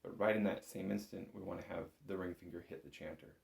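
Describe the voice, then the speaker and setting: Low male voice, gravelly fry, patient informal music instructor, step-by-step delivery, roomy recording. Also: fairly even intonation, fast, darkish tone. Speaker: bagpipe instructor. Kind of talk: step-by-step spoken music lesson. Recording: roomy, echoing room sound.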